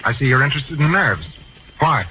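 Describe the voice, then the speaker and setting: An actor playing a gruff, pompous older medical professor in an old-time radio drama, formal and biting in manner. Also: male voice, medium pitch, animated, normal speed, close to an everyday voice. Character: gruff, sarcastic, demanding older medical professor with hidden kindness. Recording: muffled, noisy vintage radio recording.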